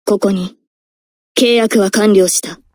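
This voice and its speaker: Casting: woman to play a resolute sworn warrior spirit, anime game, formal declamation, soft-edged tone, very dry sound. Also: mid-range voice, steady tone, normal speed, dry recording, natural voice. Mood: solemn resolve. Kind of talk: dramatic anime game voice acting.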